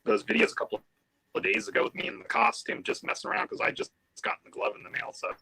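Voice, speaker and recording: An upbeat man talks about his role, filling his talk with "like" and "so". His pitch swings widely, and his gravelly voice has a bright edge. Faint hiss sits under the stream audio. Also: wildly swooping pitch, quick pace, rough recording. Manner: upbeat, lots of "like" and "so". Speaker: actor and writer guest. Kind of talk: casual live show chat.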